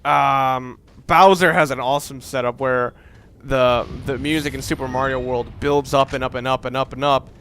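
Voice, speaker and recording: A man's voice: medium pitch, fairly even intonation, moderate pace, fairly bright tone, a little hiss or hum. A young man giving casual, rambling, opinionated commentary while playing a video game, with the odd curse word. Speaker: let's play commentator.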